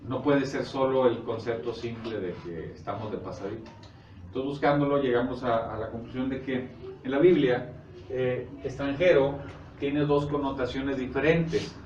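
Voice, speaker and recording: A Mexican man gives an informal sermon, recorded from far off in an echoey room. He explains scripture conversationally and haltingly, often checking in with short questions, over clear background noise. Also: mid-range voice, darkish tone, muffled recording. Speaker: preacher. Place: echoey indoor congregation room. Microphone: distant microphone.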